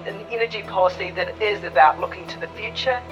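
A woman's voice: mid-range voice, fast. A woman speaking publicly in a political style, heard in a dull archive clip. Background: music.

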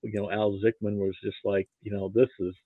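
An American man, middle-aged or older, reminiscing casually as an interview guest in a halting way, often repeating words. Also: lowish voice, flat delivery, breathy edge, dry recording. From Nebraska, United States. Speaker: interview guest, football archivist. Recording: thin phone-like line.

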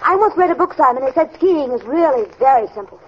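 A woman's voice: high voice, expressive, fast talker. An actress playing a plucky, devoted and playfully stubborn wife in vintage radio drama style, with a girlish, insistent manner. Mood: bright, curious. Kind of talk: 1940s-50s radio drama acting. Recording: dull, noisy old radio recording.

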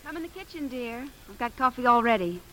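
An American actress playing a trusting, chatty housewife in a 1950s radio drama, homey and earnest. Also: female voice, a little high, animated, quick pace, pushed and bright, rough recording. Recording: 1950s radio broadcast recording.

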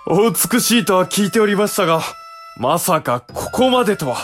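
Animated, teasing young samurai brother. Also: male voice, high-pitched, normal speed, faint music behind. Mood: amazed. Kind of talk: Japanese period audio drama acting.

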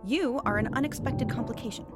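Shrewd period soothsayer, played by a woman: higher female voice, wry, insistent, formal drama delivery over music. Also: brisk, lightly acted. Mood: insistent, brisk. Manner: articulate, wry, needling. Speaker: voice actor in an audio drama. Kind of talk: period audio drama acting.

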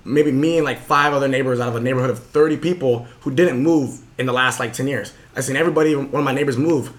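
A young man hosting a podcast, telling a motivational story in a casual, earnest way with lots of fillers. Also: mid-range voice. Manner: fast, earnest, full of fillers. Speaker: podcast host.

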